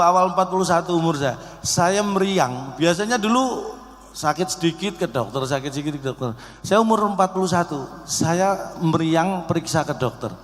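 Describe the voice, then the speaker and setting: Guy late 40s doing a funny speech to a crowd, kinda high voice, big echoey hall. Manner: joking, conversational, addresses audience directly. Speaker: comic speaker at an organisation's event. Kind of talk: humorous speech to a live audience. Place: large hall event with audience. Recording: echoey hall PA with background noise.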